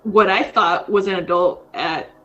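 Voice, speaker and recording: A woman's voice: medium pitch, measured pace, a bit bright, dull audio. A woman in her thirties, co-hosting a podcast in casual chat, rambling with lots of fillers. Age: 30s. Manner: rambling, frequent fillers, says dude. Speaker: podcast co-host.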